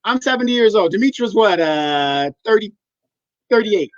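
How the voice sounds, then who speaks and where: A man's voice: sits high, lively intonation, moderate pace, no room sound. A man in his fifties co-hosting a live discussion, blunt and emphatic as he argues his point. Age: 50s. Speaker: co-host. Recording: dry, muffled lo-fi audio.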